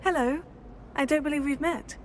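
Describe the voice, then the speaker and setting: A woman's voice: high-pitched, normal speed, slight background noise, lightly acted. An actress playing a polite, self-assured teenage witch in a video game, speaking in a composed, understated manner. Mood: curious. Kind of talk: video game protagonist dialogue.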